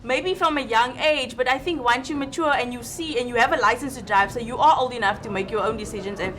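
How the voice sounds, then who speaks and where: High-voiced nineteen-year-old Cape Town woman. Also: quick pace, fairly bright tone. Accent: Cape Town South African. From Cape Town, South Africa. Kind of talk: casual group discussion.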